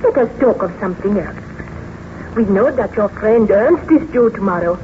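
A woman's voice: medium pitch, expressive, normal speed, close to an everyday voice. Woman acting earnest baroness, muffled vintage radio. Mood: anxious, soothing. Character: gentle, anxious young baroness, devoted wife. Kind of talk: 1930s radio serial acting. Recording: noisy muffled 1930s radio recording.

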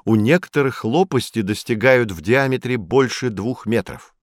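A man's voice: mid-range voice, moderate pace, dry recording, clean studio sound, lightly acted. A man playing a kindly, patient professor explaining things to children in a scripted educational audio play. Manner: instructive, encouraging.

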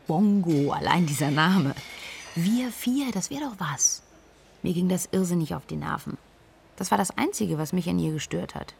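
Candid young woman, gravelly lowish voice, radio drama confessional, bit of noise. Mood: irritated. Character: independent single young woman, candid about missing flatmate. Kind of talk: German radio drama, interview-like monologue.

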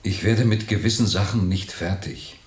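An actor playing a troubled, brooding man in a German radio play, speaking in an intense, halting, philosophical way. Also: sits low, close to an everyday voice. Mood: brooding, anguished. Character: troubled, brooding man estranged from time and perception.

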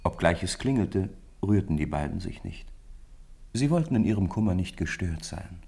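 A man narrating a radio play as a gentle, measured storyteller, with a gravelly, husky voice. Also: deep voice, animated, a little hiss or hum. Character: measured, gentle male storyteller of a Christmas tale.

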